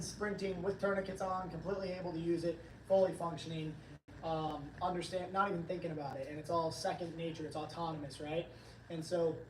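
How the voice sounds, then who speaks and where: A hoarse man hosting a casual livestream, upbeat and rambling as he reads chat comments aloud. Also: a little high, noisy background. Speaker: livestream host, training company staff. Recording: roomy, distant from the mic.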